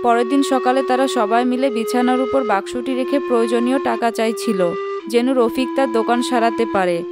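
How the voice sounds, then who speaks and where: A woman's voice: a little high, steady tone, moderate pace, loud music behind the voice. A woman narrating a scripted children's story.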